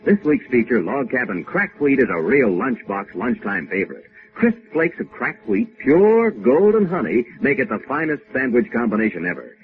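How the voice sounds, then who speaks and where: Very animated 1950s male radio announcer. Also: mid-range voice, normal speed, creaky. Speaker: radio announcer and sponsor pitchman. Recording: tinny vintage radio, music behind.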